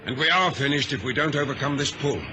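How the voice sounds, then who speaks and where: An actor playing a steady, commanding ship's captain in an old radio drama, speaking crisply and with authority. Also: male voice, mid-range voice, fast, close to an everyday voice, poor audio. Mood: grim. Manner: crisp, authoritative, clipped orders. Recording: hissy vintage radio recording.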